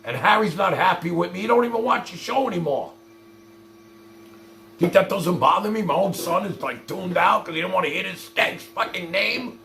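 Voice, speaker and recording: Male livestream host, gravelly and swinging widely in pitch; foul-mouthed, exasperated monologue aimed straight at viewers. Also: animated, normal speed, bright, forceful tone, a little hiss or hum. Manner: profane, exasperated, confrontational; addresses viewers directly with repeated rhetorical questions.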